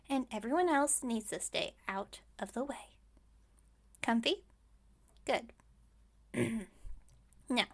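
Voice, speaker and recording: A woman's voice: a little high, fairly bright tone. A woman playing a scatterbrained, overconfident witch shopkeeper in an ASMR roleplay, chatting breezily and musing aloud with great self-assurance. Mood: bossy, methodical. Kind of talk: ASMR roleplay audio drama.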